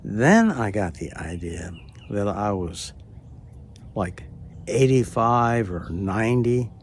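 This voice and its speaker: A man in his late seventies telling a personal story in a hesitant way, with many pauses, and often dropping to a near-whisper. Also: lowish voice, lively intonation, a little hiss or hum. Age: late 70s. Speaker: storyteller recounting a personal experience. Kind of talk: unhurried spoken personal narration.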